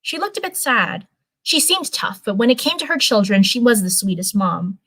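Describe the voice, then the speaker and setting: A woman's voice: mid-range voice, sing-song, moderate pace, a bit bright, no echo. A woman reading fan fiction aloud in a casual livestream style, with chatty, rambling asides. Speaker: live streamer reading fanfiction.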